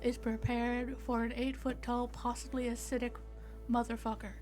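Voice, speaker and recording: A woman acts out a nervy colony worker in a tabletop horror game. The voice sits fairly high and talks in blunt, folksy, profane bursts. Light music plays behind it. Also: flat delivery, normal speed, a little mellow, close to an everyday voice. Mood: rattled, blunt. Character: McWeir, jittery foul-mouthed colony worker fleeing an alien.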